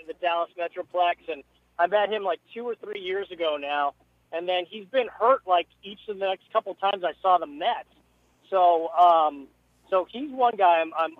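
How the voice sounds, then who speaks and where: A man speaking as a radio interview guest by phone from a car, rambling casually and haltingly with repeated words. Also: high-pitched, dry recording. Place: moving vehicle. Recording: dull phone call from a car.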